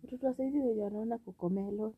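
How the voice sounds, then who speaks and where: A woman's voice: fairly high pitch, rapid-fire, breathy edge, phone-quality sound. A Kenyan woman co-hosting a podcast in casual, bantering chat. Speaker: podcast co-host. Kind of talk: casual bantering chat, mixing Swahili and English.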